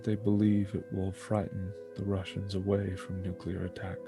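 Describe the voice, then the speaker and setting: A man playing a calm, melancholy godlike superhuman in a fan-made drama, speaking in a measured, detached way. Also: sits low. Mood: melancholy, narrating. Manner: flat, measured, detached delivery. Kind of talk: dramatic monologue in a fan-made online play. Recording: music behind the voice.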